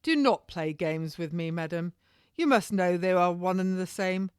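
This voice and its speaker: A woman voicing a menacing, mocking gentleman official in a historical novel, speaking in formal, accusatory, archaic phrases. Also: on the low side, normal speed, clear, resonant tone, no room sound, clean studio sound, lightly acted. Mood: accusing.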